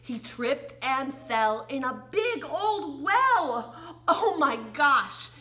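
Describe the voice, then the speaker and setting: A woman's voice: sits high, very animated, extremely slow, pushed and bright. An American woman leading an interactive read-along and sing-along for young children, with playful, exaggerated delivery, gasps and questions.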